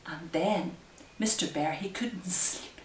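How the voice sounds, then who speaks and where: A woman hosting story time for small children as a librarian, speaking gently, asking them questions and leading into simple rhymes. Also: lowish voice, slow, slight background noise. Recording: roomy echo, distant microphone.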